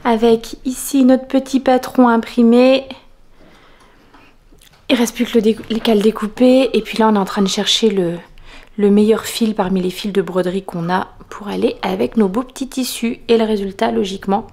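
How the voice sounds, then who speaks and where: A woman's voice: on the high side, fairly even intonation, normal speed, a little mellow. Middle-aged woman, casual vlogger, self-deprecating, filler-heavy, echoey room. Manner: chatty, self-mocking, many fillers and restarts. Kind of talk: casual vlog chat. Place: home kitchen and dining room.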